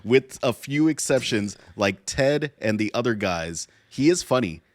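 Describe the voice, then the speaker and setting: A man's voice: mid-range voice, lively intonation, measured pace, no room sound, studio quality. A man hosting a podcast, giving blunt, sweary opinions in casual chat. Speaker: podcast host.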